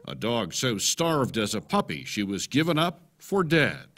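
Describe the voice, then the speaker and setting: A man's voice: medium pitch, sing-song, a little slow, a bit bright. An American man in his sixties narrating a scripted television news feature as a correspondent.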